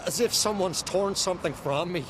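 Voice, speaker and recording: A man's voice: high voice, moderate pace, background noise, lightly acted. A man voicing a dazed, bewildered nobleman. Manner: confused and shaken. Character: dazed male nobleman, freed from a haunting.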